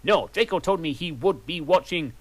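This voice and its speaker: A man's voice: fairly high pitch, animated, normal speed, pitched above the performer's own voice. A man doing a prim female professor.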